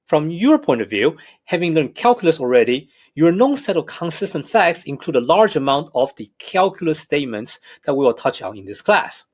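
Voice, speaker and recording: A math professor with a slight Hong Kong accent talks through a recorded lecture. His voice sits a bit high and rises and falls a lot. He explains in a measured, careful way. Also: sing-song. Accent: Hong Kong English.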